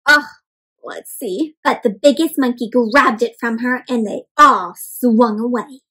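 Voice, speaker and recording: A high-pitched woman reads a picture book aloud for little kids. She is animated and expressive, pausing to question her young listeners and spell out what words mean. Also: slightly rough. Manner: animated, asks questions and explains words to children; dramatic gasps and exclamations. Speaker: children's read-aloud storyteller.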